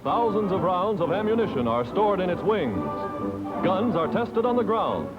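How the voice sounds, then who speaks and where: A man's voice: high-pitched, big pitch swings, normal speed. An American man narrating a 1940s wartime newsreel in a dramatic, declamatory announcer style. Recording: dull vintage 1940s newsreel film soundtrack. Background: loud orchestral newsreel music.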